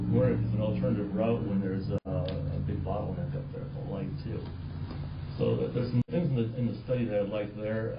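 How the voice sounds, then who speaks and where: A man on a city council asking for more information, in a measured questioning way. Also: lowish voice. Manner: measured, asks for details. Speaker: council member. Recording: echoey, dull council chamber audio with hum.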